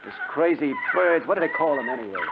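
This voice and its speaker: A man's voice: on the high side, animated, fast talker. Grumbling tough guy, tinny recording. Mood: grumbling, uneasy. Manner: plain, ungrammatical working-class speech. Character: Mike, grumbling uneasy New York tough guy. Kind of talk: 1950s radio drama acting. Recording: thin, phone-like, noisy old radio recording.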